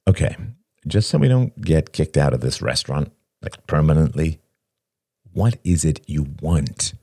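A man's voice: a bass voice, lively intonation, unhurried, gravelly, no echo, studio quality, exaggerated voice. Man voicing an angry, scornful, exasperated man. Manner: hissing, forceful. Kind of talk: dramatic audiobook character dialogue.

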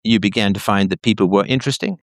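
A man's voice: on the low side, fast talker, vocal fry, dry recording, clean studio sound. A man hosting a conversation, questioning his guest closely and pressing with logical follow-ups. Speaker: host interviewing a caller. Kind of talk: probing conversational interview.

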